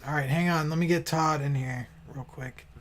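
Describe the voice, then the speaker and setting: A man's voice: a little high, fairly even intonation, moderate pace, background noise. A man in his early thirties chatting casually while gaming, slangy and playfully teasing. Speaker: racer and streamer in a community race. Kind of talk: casual gamer chat.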